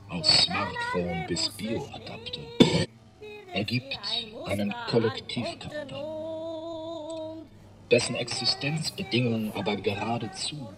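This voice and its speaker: A woman playing a solemn narrator in an experimental radio play, theorizing about a digital society in deliberate, fragmented phrases. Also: a bass voice, full character voice. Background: music.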